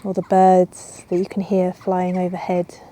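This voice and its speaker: A woman yoga teacher guiding a relaxation in a soothing, unhurried way with long pauses. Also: lowish voice, monotone, mellow, a little hiss or hum. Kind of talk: guided yoga nidra relaxation. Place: outdoors in a garden. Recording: outdoor ambience with birdsong. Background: birds and garden ambience.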